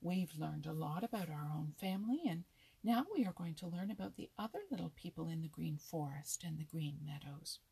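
A woman voicing a dignified, earnest male rabbit in a storybook, quick to retort. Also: sits low, gravelly, mellow, husky, full character voice.

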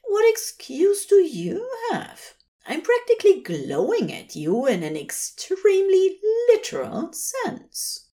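A woman's voice: very high-pitched, huge pitch swings, takes it slowly, dark tone, pitched above the performer's own voice, full character voice. A woman voicing a fussy, tender male angel who is earnest and adoring, with a fervent, dreamy delivery. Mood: playfully indignant.

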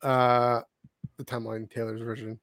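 A man co-hosting a podcast in casual chat, with a halting, jokey delivery. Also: mid-range voice, little pitch movement, fairly bright tone, no room sound. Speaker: podcast co-host.

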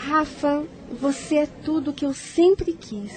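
High-voiced seductive, yearning lover. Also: female voice, slow, music in the background. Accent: Brazilian. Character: seductive, yearning woman in love with married man. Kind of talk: Brazilian radio soap acting.

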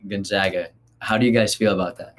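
A man hosting a casual sports talk, excited about the games and turning questions to the others. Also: fairly low pitch, fast talker. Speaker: host.

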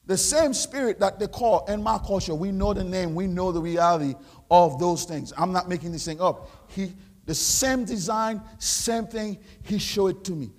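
A Haitian man with a Haitian French accent, a pastor preaching a sermon, rising into loud shouted exhortations. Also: high voice, moderate pace. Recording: long hall reverb.

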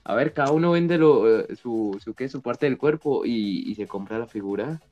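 A man's voice: mid-range voice, lively intonation, normal speed, highs cut off. A man from Colombia with a Colombian Spanish accent, chatting casually and often repeating his phrases. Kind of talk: casual group chat. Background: music.

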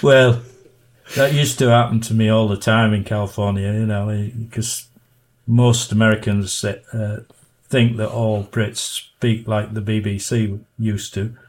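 An older man with a Yorkshire accent, a diver and author, reminiscing in a halting, self-effacing way as a guest in a casual podcast interview. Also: lowish voice, flat delivery. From Yorkshire, northern England.

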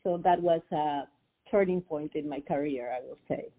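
A woman's voice: deep voice, moderate pace. Peruvian woman on a tinny phone line. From Peru. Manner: hesitant, many fillers and restarts. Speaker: guest, financial advisor. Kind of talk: interview over the phone.

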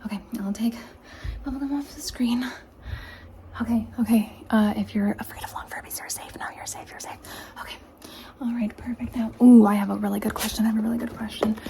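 Whispering woman in a gravelly ASMR session. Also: fairly high pitch, fairly even intonation, slight background noise. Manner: soft whispering, slow repeated questions. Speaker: ASMR creator. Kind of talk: ASMR roleplay. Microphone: close camera mic.

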